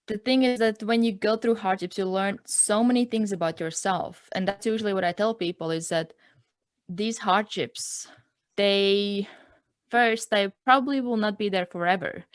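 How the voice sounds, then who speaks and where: A woman's voice: medium pitch, measured pace, no room sound. Earnest young Latvian-accented woman, dry sound. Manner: reflective, earnest, peppers speech with "like" and "um". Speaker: interview guest. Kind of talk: personal story in a casual interview.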